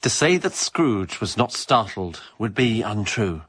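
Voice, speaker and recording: A man's voice: on the low side, very animated, measured pace, fairly bright tone, breathy edge, dry recording. A man reading narration in an amateur radio play. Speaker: performer, narrator.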